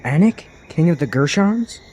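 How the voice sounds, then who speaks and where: A man's voice: on the high side, big pitch swings, normal speed, warm tone, a little hiss or hum. A man playing a cautious, earnest young traveler in a fantasy audio drama, speaking formally as an anxious protector. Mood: polite, curious.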